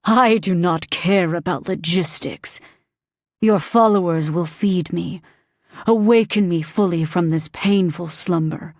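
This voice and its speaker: Demon lord, male, cruel and hungry, read by a woman, low menacing drawl, dry close sound. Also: dry recording. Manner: slow, menacing, lowered voice.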